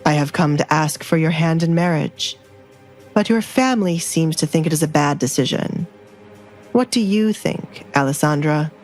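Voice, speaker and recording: A woman's voice: deep voice, moderate pace, darkish tone, lightly acted. A woman voicing a curt, imperious nobleman, a duke in a romance audio drama. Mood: formal, direct. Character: commanding, blunt young male duke. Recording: background music under the voice.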